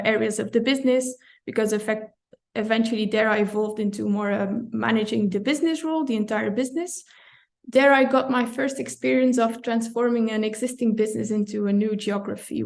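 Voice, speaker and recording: A woman from Belgium, a compliance director, talking through her work in a relaxed interview, often pausing and correcting herself with small laughs. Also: a little high, gravelly. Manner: thoughtful, self-correcting, light laughs. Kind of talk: conversational interview.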